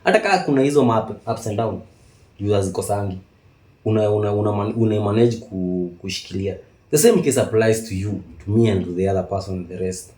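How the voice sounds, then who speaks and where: A young Kenyan man with a lowish, mellow voice talks quickly in a casual debate. He mixes Swahili slang with English and repeats phrases to press his point. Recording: echoey room.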